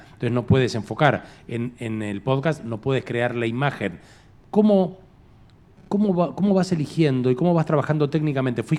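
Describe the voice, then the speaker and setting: A man moderating a live panel, talking conversationally and rephrasing haltingly as he thinks aloud. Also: medium pitch, darkish tone. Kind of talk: conversational question-and-answer on stage.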